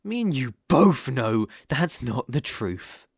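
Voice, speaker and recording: A man's voice: mid-range voice, sing-song, slow, vocal fry, breathy edge, dry recording, exaggerated voice. A British man playing an obsessive, possessive young kidnapper in an intimate ASMR roleplay, coaxing and menacing in a condescending way, close on the microphone. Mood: condescending, threatening.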